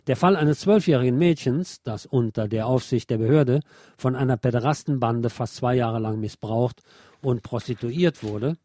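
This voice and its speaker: A man's voice: medium pitch, mellow, no room sound. A man presenting scripted radio news with pointed commentary, recorded in a studio with music behind him.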